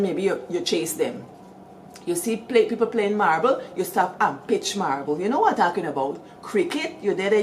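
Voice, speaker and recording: A middle-aged Guyanese woman hosting, telling village stories in a lively, animated way and calling out to her listeners. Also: fairly low pitch, a little hiss or hum. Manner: lively, animated storytelling with call-outs to listeners. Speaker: storyteller host. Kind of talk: storytelling talk.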